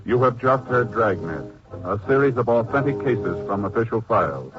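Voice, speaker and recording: A man with an American accent reading formal, measured scripted announcements in the style of 1950s radio. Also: mid-range voice, expressive. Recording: dull old radio broadcast recording. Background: music.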